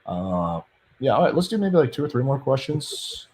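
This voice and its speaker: A man with a deep voice giving blunt, profane dating advice in a casual panel chat, reading out viewer questions. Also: big pitch swings, moderate pace, darkish tone.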